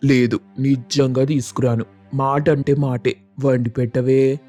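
A man's voice: mid-range voice, flat delivery, a little slow, dark tone, soft background music, lightly acted. A man playing a food-loving, stubborn village husband in a moral story, speaking colloquially in a pleading, grumbling way. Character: food-obsessed, stubborn village husband craving chicken pulao. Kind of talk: Telugu moral story voice acting.

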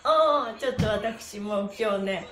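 A woman's voice: medium pitch, a little slow, a bit bright, reverberant, a little hiss or hum. A middle-aged woman leading a livestreamed stretching lesson in a cheerful, exclamatory, encouraging way, picked up by a camera mic in a small room. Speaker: stretching instructor and pantomime performer. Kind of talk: livestreamed exercise lesson with chanted counting and chatty asides. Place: small bare room indoors. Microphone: camera mic a few steps away.

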